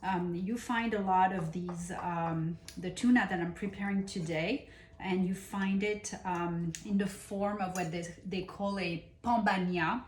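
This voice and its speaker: French lady doing a cooking show, slow and chatty w lots of ums, echoey kitchen. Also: on the low side, fairly even intonation. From France. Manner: unhurried and enthusiastic, with many pauses and fillers. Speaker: cooking show host and nutrition coach. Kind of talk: casual cooking demonstration.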